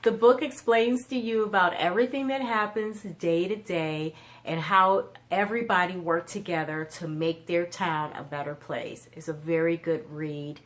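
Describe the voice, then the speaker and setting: A woman with a slightly low voice presents book reviews as a children's storytime host. She explains things simply and puts easy questions to her young listeners. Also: fairly even intonation, normal speed, few pauses.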